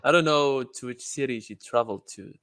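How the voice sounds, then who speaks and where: A man's voice: medium pitch, fairly quick, a bit bright, no echo. A man from Morocco with a Moroccan accent, chatting casually in English as a learner, a little hesitant as he searches for words. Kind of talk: casual chat.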